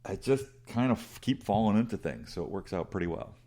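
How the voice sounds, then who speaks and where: A man's voice: on the low side, animated, fairly quick, warm tone. A middle-aged man with a big voice, telling rambling, self-deprecating stories as an interview guest with many restarts. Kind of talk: casual conversational storytelling.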